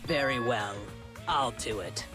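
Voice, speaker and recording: A man reading out a stoic, strong-willed young woman fighter from a game in a lowered, solemn voice. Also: takes it slowly, natural voice. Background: game music.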